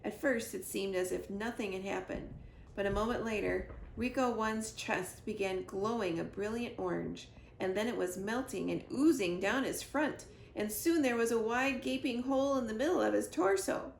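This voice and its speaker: A woman reading a children's storybook aloud with expressive narration, recorded in a roomy living room. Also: medium pitch, normal speed, gravelly. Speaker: reader, school librarian or teacher. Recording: roomy echo, faint background noise.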